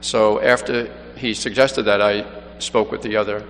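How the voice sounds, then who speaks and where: Man from new york city, missionary priest, earnest homily, lowish voice, long church echo. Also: fairly even intonation, a bit bright. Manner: earnest, unhurried, with frequent restarts. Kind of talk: Gospel reading and mission appeal homily. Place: large church. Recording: long church reverb, faint hum.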